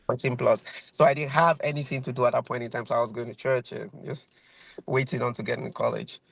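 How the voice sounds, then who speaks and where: A Nigerian man in his thirties talks over a video call, rambling with fillers and repeating himself as he tells his story. His voice is heavily gravelly, with a flat, even melody. The sound is dry and close. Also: mid-range voice, fast talker, no room sound, rough recording. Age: 30s. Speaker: guest violinist.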